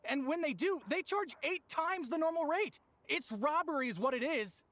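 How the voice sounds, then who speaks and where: A woman's voice: sits high, expressive, normal speed, a bit bright, no echo. An actress playing an aggrieved, desperate townswoman in a video game, indignant and pleading. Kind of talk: video game character dialogue.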